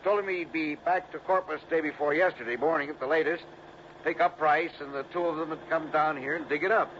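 A man's voice: a little high, fairly bright tone, close to an everyday voice. An American man acting a folksy, cautious local who tells stories earnestly and eagerly in a vintage radio drama. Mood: confiding. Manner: folksy, unhurried storytelling with emphatic phrasing. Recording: muffled, noisy vintage radio recording.

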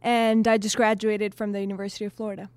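A woman's voice: mid-range voice, little pitch movement, quick pace, darkish tone, dry recording. A woman in her twenties from Honduras, a newspaper intern chatting in an upbeat way on a podcast. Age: 20s.

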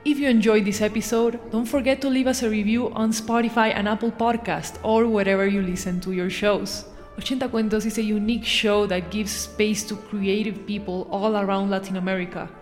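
A woman announcer reads a scripted podcast outro at a middling female pitch. Her voice carries a long reverb, and music plays behind it. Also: steady tone, fairly quick, few pauses.

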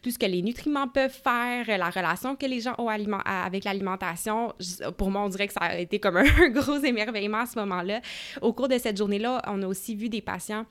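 A young woman with a Québécois French accent speaks as an interview guest. She talks eagerly and at mid pitch, with many fillers and restarts. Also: quick pace. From Gatineau, Quebec, Canada. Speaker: guest, nutrition researcher. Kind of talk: casual interview talk.

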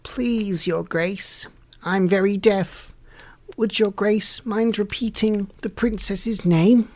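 A woman's voice: mid-range voice, steady tone, slow pace, darkish tone, voice pushed up. A woman voicing a wicked, toothless old fairy in a storybook reading, spiteful and cackling, biting off each word. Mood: feigned politeness. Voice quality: harsh, creaky, mumbling.

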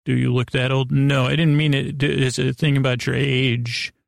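Creaky-voiced man rambling through a bedtime podcast. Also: medium pitch, level tone, no echo, clean studio sound. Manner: meandering, halting, stumbles over words, self-interrupting; soothing, lulling. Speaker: podcast host.

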